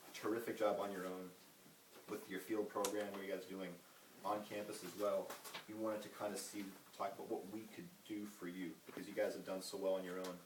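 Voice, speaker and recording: A young man giving a halting campaign speech full of ums and uhs while losing his voice. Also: low-pitched. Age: young adult. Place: meeting room at a retreat. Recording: roomy, distant, noisy hall recording.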